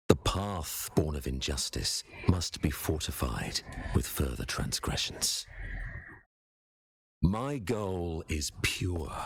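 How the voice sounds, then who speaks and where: An actor voicing a solemn, fanatical false prophet in a video game, preaching slowly and grandiosely. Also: male voice, sits low, background noise, exaggerated voice. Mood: solemn, fervent. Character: solemn fanatical male false prophet, monstrous impostor priest. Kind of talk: scripted video game voice acting.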